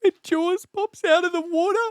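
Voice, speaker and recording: A man's voice: very high voice, fairly even intonation, moderate pace, no room sound, clean studio sound, voice pushed up. A man playing a miserable, grumpy young man who has been dumped, sighing and downbeat, in a table read of a film comedy. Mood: miserable, upset.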